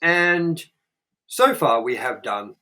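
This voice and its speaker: A man's voice: medium pitch, lively intonation, takes it slowly, pushed and bright. A man hosting a casual movie review show, jokey and loose, swearing freely. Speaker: show host.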